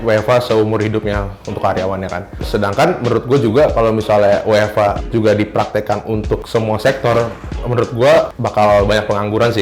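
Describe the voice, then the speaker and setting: A man in his twenties rattles off his opinion quickly, hesitating often and using slang. His voice sits low. Faint music plays underneath. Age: 20s. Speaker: interviewee. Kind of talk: casual slangy opinion interview.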